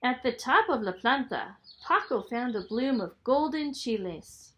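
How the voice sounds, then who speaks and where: A woman reading a children's story aloud in a dramatic, expressive storytelling manner. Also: on the high side, unhurried, lo-fi sound. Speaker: storybook reader, school superintendent.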